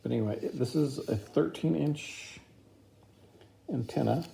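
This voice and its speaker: A man's voice: mid-range voice, a little slow, gravelly, mellow, slight background noise. A man giving a casual, informal product review, explaining features plainly step by step.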